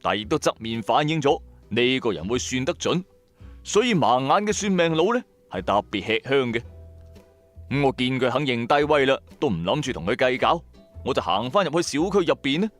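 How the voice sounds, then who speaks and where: A male storyteller with a Hong Kong Cantonese accent narrates in the first person. His mid-range voice rises and falls expressively, with chatty, colloquial asides. Soft music plays behind him. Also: mid-range voice, big pitch swings, fairly quick. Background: background music.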